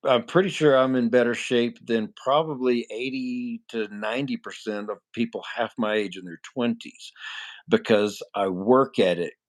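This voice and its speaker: A man in his forties with a nasal voice and an ordinary middle pitch talks in a loose, rambling way to his livestream chat. He is blunt and swears freely. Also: moderate pace. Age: 40s. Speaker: livestream host answering chat. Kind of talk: casual live chat.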